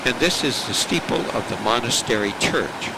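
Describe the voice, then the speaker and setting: A man narrating a home travel video in a measured, informative way. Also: mid-range voice, lively intonation, very noisy.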